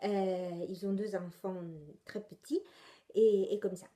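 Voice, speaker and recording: A woman's voice: medium pitch, little pitch movement, takes it slowly, warm tone. A young woman with an Italian accent, hosting a knitting podcast in casual, rambling chat full of hesitations. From Italy. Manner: rambling, chatty, many hesitations and self-corrections.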